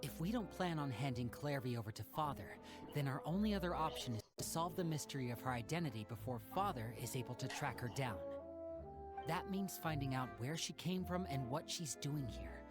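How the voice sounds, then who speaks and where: A man voicing a calm, protective young magician who acts as an older brother. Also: medium pitch, fairly quick, natural voice. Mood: earnest. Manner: calm, gentle and earnest. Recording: game cutscene audio with background music. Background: game music.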